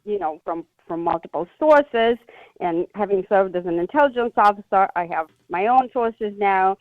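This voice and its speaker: A woman giving expert analysis as a radio interview guest, deliberate and emphatic, with halting phrasing. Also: mid-range voice, no echo. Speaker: expert guest, former intelligence officer. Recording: dull, band-limited radio guest line.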